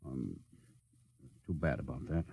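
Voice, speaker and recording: An actor playing a gruff, commanding starship captain with a soft heart, in 1950s radio drama style. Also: male voice, very deep voice, expressive, unhurried, no room sound, exaggerated voice. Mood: brisk, businesslike.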